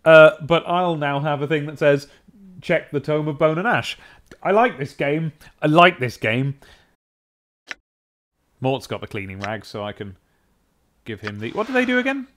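A man's voice: on the high side, animated, moderate pace, long pauses, a bit bright. Young British man, chatty game commentator. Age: young adult. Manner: chatty, wry, rambling asides. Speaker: let's play host. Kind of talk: casual game commentary with dramatic reading. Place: small indoor room at a desk. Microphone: desk microphone with pop filter, close. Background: game music.

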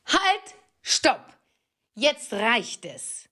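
A woman's voice: on the high side, big pitch swings, very slow, pushed and bright, exaggerated voice. A woman playing a bossy, scheming evil witch in an audio drama, with an imperious theatrical delivery. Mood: irritated, bossy.

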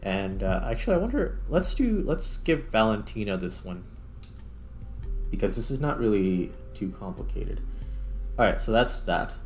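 Low-pitched man rambling through gameplay. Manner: rambling, thinking aloud. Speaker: gaming video host. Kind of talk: casual gameplay commentary.

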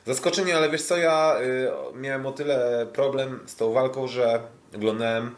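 A Polish man co-hosting a sports podcast, recapping fights casually in a hesitant way with drawn-out fillers and restarts. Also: mid-range voice, fairly even intonation. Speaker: podcast co-host, kickboxing commentator.

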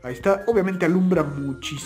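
A man's voice: high voice, fast talker, a little mellow, faint music behind. A young man speaking in a casual, chatty, folksy way as he hosts a product-test vlog. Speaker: video host. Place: plain indoor room, also outdoors at night.

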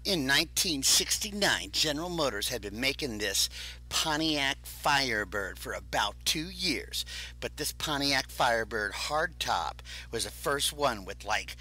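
A man hosting a live toy car auction, pitching enthusiastically and telling stories about the models. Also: animated, unhurried, sharp tone, low-quality recording. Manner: enthusiastic, storytelling sales talk. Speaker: auction host.

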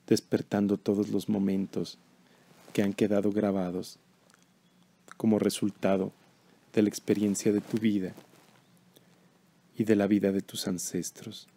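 A man leading a guided meditation in a calm, measured, instructional way. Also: on the low side.

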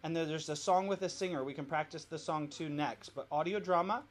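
Male host, slightly high voice, informal step-by-step walk-through, faint background noise at home. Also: fairly quick. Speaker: host of a family worship demo. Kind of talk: casual walk-through of an app. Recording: noisy home recording.

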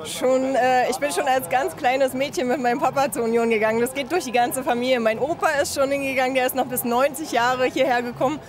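A woman's voice: high-pitched, fairly even intonation, fast, few pauses. A young woman, a football fan, answering casually in a street interview outdoors near a stadium. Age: young adult. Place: outdoors among crowd outside a football stadium. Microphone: handheld interview microphone.

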